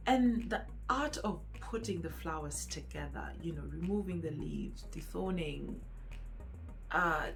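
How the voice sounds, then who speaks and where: A middle-aged Kenyan woman telling a personal story in a casual, expressive way, speaking directly to her listeners. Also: low voice, rough recording. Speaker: vlog host, event planner.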